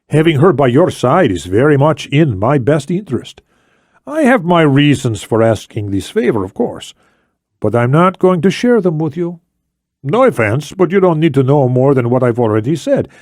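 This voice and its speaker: A man acts out an older Spanish ex-intelligence officer in a thriller audiobook. He is shrewd, commanding and formal, with deliberate, emphatic phrasing that swings widely in pitch. The sound is close and dry. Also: very animated, darkish tone, no echo. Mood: firm, controlled. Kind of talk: acted audiobook dialogue.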